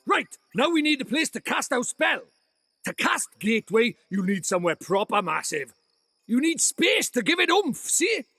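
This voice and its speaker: An actor voicing a small, cheeky, bossy fairy sidekick with a Welsh accent in a fantasy game. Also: male voice, very high voice, very animated, moderate pace, sharp tone, slightly rough, no room sound, clean studio sound, faint music behind, full character voice. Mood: lecturing. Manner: brash, teasing, colloquial, theatrical. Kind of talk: animated fantasy game voice acting.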